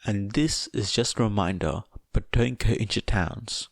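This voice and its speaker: A man's voice: fairly low pitch, measured pace, creaky, no room sound, studio quality. A man voicing a nervous, polite teenage boy who talks carefully and sweetly in an audiobook story. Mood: polite, coaxing. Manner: hesitant, placating, polite.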